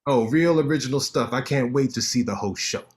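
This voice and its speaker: Guy reading as a cocky show-off lawyer, teasing and bragging, quick with the banter. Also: medium pitch, close to an everyday voice. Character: Tommy, a cocky sharp-tongued male lawyer. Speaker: actor in a staged reading. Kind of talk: staged play reading.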